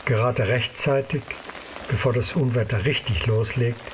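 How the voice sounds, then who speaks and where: A man's voice: medium pitch, steady tone, moderate pace, slight background noise. A man narrating an audio drama in a scripted storytelling style.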